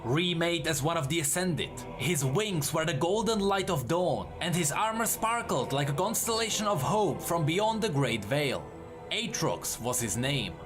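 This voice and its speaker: High-pitched man narrating dramatic lore. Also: very animated, normal speed, over music. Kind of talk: dramatic scripted storytelling with explanatory commentary.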